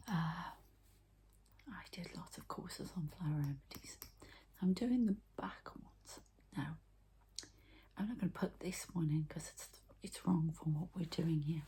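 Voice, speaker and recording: A woman's voice: on the low side, dark tone, a little hiss or hum. A woman whispering breathily in an ASMR style, explaining things informally step by step with some hesitation. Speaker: ASMR host and energy healer.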